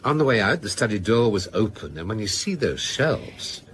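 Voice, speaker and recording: An actor in a radio drama playing a rueful, sardonic novelist who confesses in a measured, hesitant way. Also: male voice, on the low side, big pitch swings, a bit bright, a little hiss or hum. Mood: grave, confessing.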